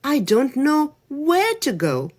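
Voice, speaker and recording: A woman's voice: high-pitched, wildly swooping pitch, slow, darkish tone, pitched above the performer's own voice, full character voice. A woman voicing a small, timid female bird with a broken wing, asking for shelter in a storybook tale. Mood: sad. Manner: pleading and timid.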